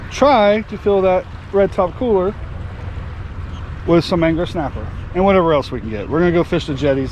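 A man's voice: high-pitched, darkish tone, low-quality recording. A man, hosting a fishing vlog from his boat and chatting casually to viewers. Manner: folksy and upbeat, addressing viewers as y'all. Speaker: fishing channel host and charter captain. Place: open boat on the water outdoors. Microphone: action camera on the boat. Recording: outdoor boat recording with engine and water noise.

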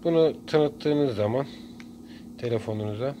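A man giving a casual hands-on tutorial in short phrases with frequent pauses. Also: mid-range voice. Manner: halting, pausing between short phrases. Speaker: DIY video maker. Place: indoors at a workbench. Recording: clear background noise, room ambience.

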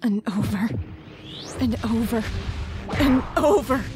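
A woman's voice: fairly high pitch, slow. A woman voicing an earnest, desperate young scientist in a dramatic anime parody. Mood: grieving. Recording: prominent music behind.

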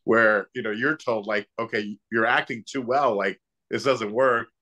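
A middle-aged man co-hosting a casual interview over a video call, talking in a halting way with repeated words. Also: on the low side, steady tone, pushed and bright, highs cut off. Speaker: co-host, actor. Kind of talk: casual chat. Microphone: computer video call.

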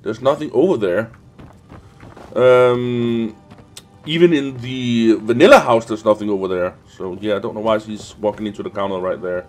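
A man's voice: lowish voice, normal speed, darkish tone. A young man with a sniffly cold giving casual, rambling, sweary gaming commentary as host. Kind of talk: casual let's play commentary. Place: indoors at a desk, webcam view. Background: faint game music.

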